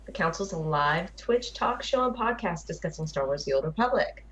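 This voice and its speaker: A woman's voice: lowish voice, animated, moderate pace, fairly bright tone, lo-fi sound. A woman hosting a live talk show podcast, opening the show and introducing the panel.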